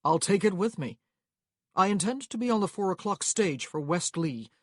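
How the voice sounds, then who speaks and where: A woman voicing an impatient, short-tempered gentleman traveller in an audiobook, curt and insistent. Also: lowish voice, lively intonation, normal speed, dry recording, clean studio sound, voice pushed up. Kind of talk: acted audiobook dialogue.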